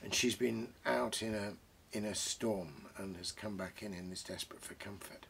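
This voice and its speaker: A British man in his sixties, a host talking about books and reading aloud, reflective and savouring his phrases with deliberate pauses. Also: on the low side, creaky, fairly bright tone, a little hiss or hum. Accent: British English. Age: 60s. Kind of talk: bookish commentary and reading aloud. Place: small book-crammed study, indoors.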